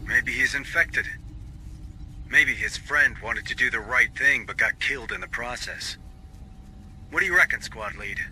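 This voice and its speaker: A man's voice: medium pitch, measured pace, long pauses, bright, forceful tone, a little hiss or hum, rough recording. An actor playing a dry-humored marine sergeant who barks orders at his squad with sarcastic asides, in video game dialogue. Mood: wry. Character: wry gruff male marine sergeant, middle-aged.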